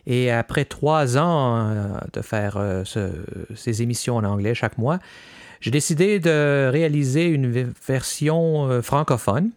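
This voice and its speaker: A man's voice: mid-range voice, unhurried, a little mellow, no echo, clean studio sound. A man hosting a podcast, hesitating often with fillers and restarts, his first language French though he now lives mostly in English. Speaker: podcast host. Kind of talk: scripted podcast introduction.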